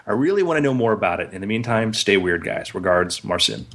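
A man's voice: on the low side, animated, quick pace, gravelly, fairly bright tone. A man in a casual podcast chat, blunt and dryly teasing as a co-host.

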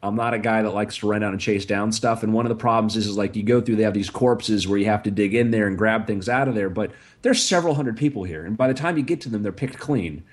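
A man with a raspy, hoarse voice, co-hosting a casual podcast chat and telling an animated story that he slows down for comic effect. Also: on the low side. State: hoarse from screaming. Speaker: podcast co-host.

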